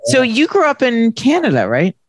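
Lively teasing interview host. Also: mid-range voice. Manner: teasing, quick with jokes. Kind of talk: casual interview.

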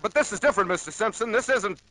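A man's voice: sits high, quick pace, bright, forceful tone. An actor playing an eager, flustered young newspaperman in a 1940s radio drama. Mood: torn.

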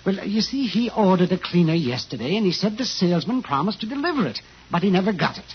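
A flustered, officious male manager in a 1950s radio drama. He speaks high with animated swings, fussing and stammering, on a muffled, noisy old recording. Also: fast, breathy edge, exaggerated voice. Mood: nervous, flustered. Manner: fussy, indignant, stammering.

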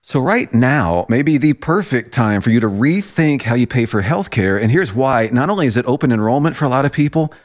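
A man's voice: medium pitch, expressive, a little fast, hardly any pauses. A man delivering a scripted commercial in a conversational announcer style.

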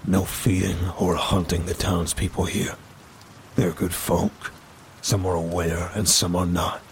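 A man voicing a kindly old pack leader who is calm and protective. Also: deep voice, a little slow, a little hiss or hum. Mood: firm. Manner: calm, firm.

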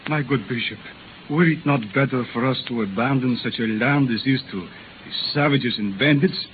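An actor playing a discouraged, deferential priest in a hissy 1930s radio drama. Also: male voice, mid-range voice, moderate pace, phone-quality sound, close to an everyday voice. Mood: doubtful, despairing. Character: doubtful, discouraged priest, deferential to his bishop.

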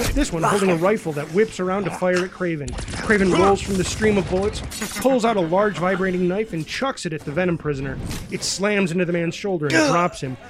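Male narrator for superhero action script: higher-pitched, punchy, dramatic, clear music bed underneath. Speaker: stage directions narrator. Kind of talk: dramatic scripted narration with spoken sound effects.

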